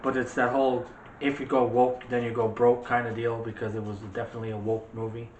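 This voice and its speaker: A man's voice: medium pitch, little pitch movement, fast talker, highs cut off. A man co-hosting a casual movie discussion, giving his opinions in a rambling, argumentative, conversational way. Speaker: co-host of a movie discussion show. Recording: echoey room with faint background noise.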